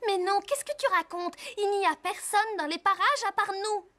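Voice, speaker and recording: A woman's voice: extremely high pitch, moderate pace, sharp tone. A woman playing a little girl in a French cartoon dub. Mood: disbelieving.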